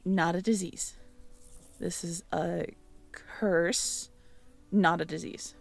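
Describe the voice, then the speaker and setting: Woman going real slow over her notes, asking the listener if she got it right, soft little laughs. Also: fairly low pitch, fairly even intonation, creaky, soft background music. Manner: musing aloud, reading notes, checking back with the listener. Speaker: audio roleplay performer. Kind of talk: intimate audio roleplay, talking to the listener.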